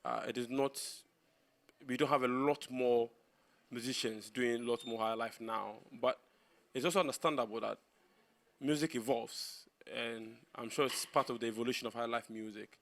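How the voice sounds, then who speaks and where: A man's voice: medium pitch, fairly even intonation, moderate pace, fairly bright tone. A man giving a reasoned, balanced opinion in conversational panel talk. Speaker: panelist on a TV discussion show.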